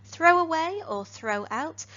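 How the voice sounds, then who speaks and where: A woman teaching phrasal verbs in an online lesson. She speaks in a clear, deliberate way and lifts each new phrasal verb. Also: extremely high pitch, expressive, pushed and bright, highs cut off. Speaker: English teacher.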